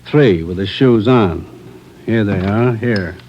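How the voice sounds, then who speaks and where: An actor playing a busy, dry-humored crime lab technician in a 1950s radio police drama, casual and clipped. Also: male voice, fairly low pitch, slow, darkish tone. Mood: brisk, dry. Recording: dull, noisy old radio recording.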